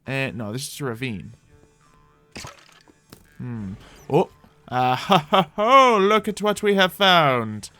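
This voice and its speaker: A man's voice: medium pitch, lively intonation, takes it slowly, pauses often, bright, forceful tone, soft background music. A man giving playful, improvised gameplay commentary as a host, thinking aloud to viewers while roleplaying. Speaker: gaming host playing a roleplay character.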